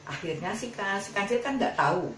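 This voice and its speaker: A woman's voice: medium pitch, expressive, moderate pace. A woman telling a children's fable in an informal, repetitive, colloquial way. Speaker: storyteller. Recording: roomy, distant mic, faint background noise.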